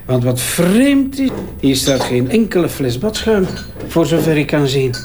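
An actor playing a talkative, sardonic crime-scene investigator with a Flemish accent and a wry, bantering way of talking. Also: male voice, fairly high pitch, very animated, measured pace, background noise. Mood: sardonic, amused. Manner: wry, bantering, hesitating as he examines. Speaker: forensic investigator. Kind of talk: naturalistic audio crime drama acting.

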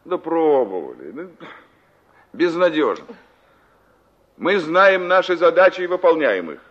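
A man's voice: on the high side, big pitch swings, a little slow. An actor playing an officious, dismissive village farm chairman in a 1960s Soviet radio drama, pompous and speaking in slogans. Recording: dull old radio drama recording.

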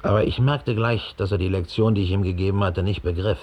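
Low, husky, resentful brute. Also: male voice, fairly even intonation, fairly quick, highs cut off. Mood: smug contempt. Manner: contemptuous, self-important. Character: big, slovenly, resentful old Nazi underground operative. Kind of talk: radio drama monologue, police statement. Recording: 1960 studio radio play.

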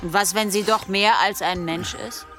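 An actress playing a determined village huntress in an audio drama, asking searching questions. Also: female voice, mid-range voice, fairly even intonation, moderate pace, over music, close to an everyday voice. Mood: insistent. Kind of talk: German audio drama acting.

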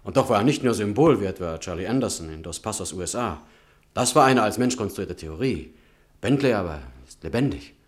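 A man's voice: fairly low pitch, expressive, a little fast, close to an everyday voice. An actor in a German radio play, playing an earnest, admiring young man of letters who speaks politely and articulately. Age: young adult. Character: earnest, admiring young male writer, respectful and eager.

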